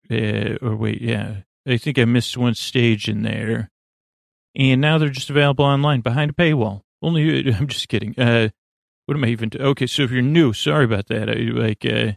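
A man hosting a bedtime podcast in a creaky voice, delivering a halting, meandering monologue meant to lull listeners to sleep. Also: medium pitch, no room sound, clean studio sound. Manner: meandering, halting, drifts off topic. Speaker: podcast host.

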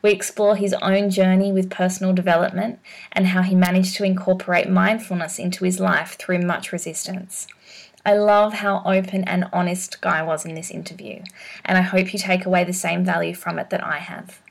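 A woman's voice: on the low side, level tone, moderate pace, creaky. A woman hosting a podcast, reading an enthusiastic scripted intro. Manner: upbeat, enthusiastic. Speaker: podcast host.

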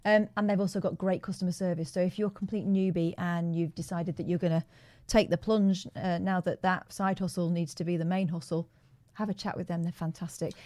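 A woman's voice: on the low side, level tone, fairly quick, hardly any pauses, mellow. Chatty female co-host. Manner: chatty, wry. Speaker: co-host of a live show. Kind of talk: casual chat with sponsor read.

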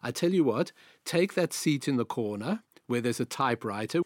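A man in his sixties from South Africa with a South African accent, telling his career story as a guest in an interview, in a measured, anecdotal way. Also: medium pitch, lively intonation, darkish tone, no room sound, clean studio sound. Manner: measured, anecdotal, repeats phrases. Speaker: guest, veteran journalist.

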